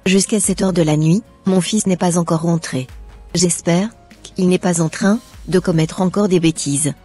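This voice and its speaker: A woman playing a harsh, fretful middle-aged mother with a husky voice in an amateur cartoon, over music. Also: fairly low pitch, steady tone, normal speed, dark tone. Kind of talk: amateur animated drama acting. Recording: background music.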